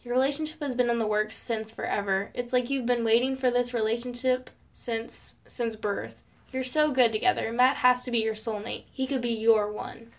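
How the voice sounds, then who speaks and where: A woman's voice: fairly high pitch, fairly even intonation, moderate pace, natural voice. A woman playing a chatty, romantic teenage girl in an amateur audio drama. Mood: teasing.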